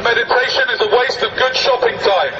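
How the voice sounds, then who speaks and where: A man's voice: very high voice, brisk, reverberant. A man making a mock-earnest, declarative public speech through a megaphone, like a satirical street activist. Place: outdoor public business district. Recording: muffled, distant, noisy outdoor street recording.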